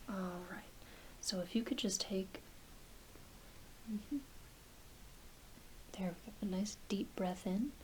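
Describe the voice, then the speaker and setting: A woman voicing a gentle, reassuring doctor in a soft, mostly whispered ASMR medical roleplay, murmuring encouragement. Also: medium pitch, a little hiss or hum, close to an everyday voice, low-quality recording. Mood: soothing, attentive. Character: gentle, reassuring werewolf doctor giving an exam. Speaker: ASMR performer.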